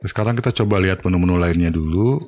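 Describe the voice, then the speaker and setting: A man giving an informal, step-by-step software tutorial. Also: sits low, rapid-fire. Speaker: tutorial host.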